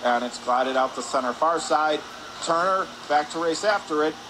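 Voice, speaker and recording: A high-voiced man does live radio play-by-play of a hockey game. His delivery is excitable and climbs whenever there is a shot or save. Clear arena noise runs behind him. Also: normal speed. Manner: excitable, voice rising on shots and saves. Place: indoor ice hockey arena. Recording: noisy rink ambience behind the voice.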